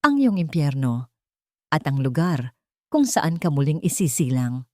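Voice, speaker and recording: Woman narrator as a stern, measured older general. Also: sits low, sing-song, dry recording. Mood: stern welcome. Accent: Filipino. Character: stern, wise older male military general and godfather.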